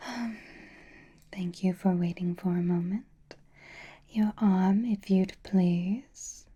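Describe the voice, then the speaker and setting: A woman playing an elegant, teasing female vampire noble in an ASMR roleplay, speaking in a soft, breathy whisper with a refined manner. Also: on the low side, steady tone, lo-fi sound, full character voice. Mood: polite, teasing. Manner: slow, refined, wryly teasing.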